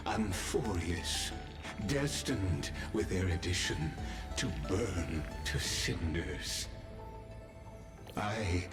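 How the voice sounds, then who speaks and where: A menacing godlike entity from a video game. The voice is low and extremely slow, each solemn pronouncement weighed out deliberately. It echoes, with music clearly behind it. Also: male voice, full character voice. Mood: ominous, grave. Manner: grandiose, deliberate, ominous pronouncements. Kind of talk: scripted video game cutscene acting. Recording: echoing voice under clear music.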